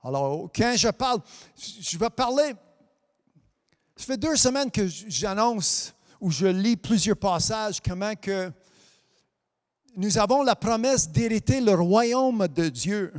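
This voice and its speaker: A man whose first language is English, preaching a French sermon as a pastor, dropping amen and hallelujah between halting restarts and sometimes lifting into a sing-song cadence. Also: high voice, expressive, long reverb tail. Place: church hall.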